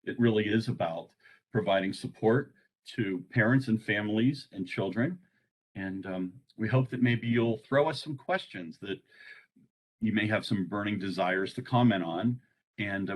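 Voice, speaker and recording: A man presenting an informal training on a conference call, speaking in a halting way. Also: lowish voice, low-quality recording.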